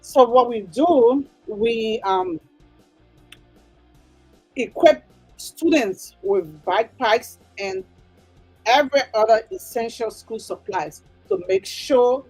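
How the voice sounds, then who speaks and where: A woman's voice: a little high, expressive, slow, long pauses, darkish tone, breathy edge, soft background music, low-quality recording. A woman with a Liberian accent, explaining things earnestly and emphatically as an interview guest. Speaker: interview guest, nonprofit executive director.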